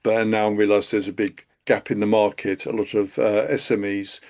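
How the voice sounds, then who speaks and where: A man's voice: lowish voice, level tone, a little mellow. Chatty man hosting a stream. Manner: chatty, halting, many fillers. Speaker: live stream host, accountant. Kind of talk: casual live webinar talk.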